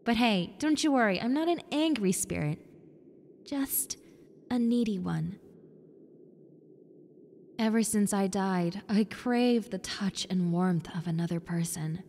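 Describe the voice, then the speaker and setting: A woman voicing a friendly, needy young female ghost in an intimate roleplay, softly coaxing the listener with hesitant pauses. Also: medium pitch. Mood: coaxing, reassuring. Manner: soft, coaxing, hesitant pauses, sometimes near whisper. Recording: long reverb, clear background noise and ambience.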